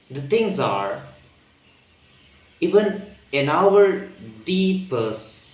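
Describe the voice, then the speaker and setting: Indonesian guy talking english real slow, lots of ums, sounds far off in a roomy space. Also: mid-range voice. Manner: halting, searching for words, many ums. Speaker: vlogger practicing spoken English. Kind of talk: reflective solo monologue. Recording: roomy echo, mic at a distance.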